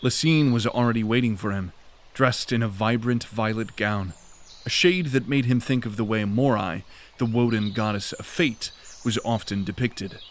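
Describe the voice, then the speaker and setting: A man's voice: on the low side, moderate pace. Man narrating a fantasy story.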